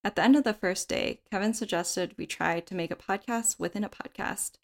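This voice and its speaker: A woman reading scripted podcast narration in a measured, reflective way. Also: medium pitch.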